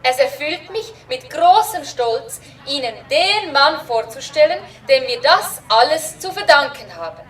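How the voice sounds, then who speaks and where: Woman acting a high, slow, pompous village official. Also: animated, fairly bright tone, far from the mic. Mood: proud, ceremonious. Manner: ceremonious, pompous. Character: proud, formal village official announcing to a crowd. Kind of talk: children's audio drama acting.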